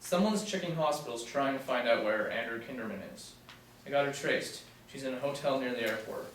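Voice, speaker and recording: Guy reading eager fbi agent, fast talker, mic kinda far off in an echoey room. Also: mid-range voice, lightly acted. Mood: brisk, official. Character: eager male FBI agent, deferential to his boss. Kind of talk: table read of a TV pilot script. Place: small meeting room, seated in a row. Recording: echoey room, distant mic, faint noise.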